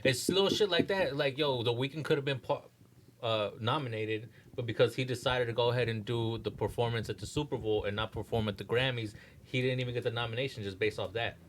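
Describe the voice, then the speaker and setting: A man's voice: medium pitch, brisk, a little hiss or hum. A man in a casual podcast conversation about music, explaining things in slangy, profane talk. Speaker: podcast panelist.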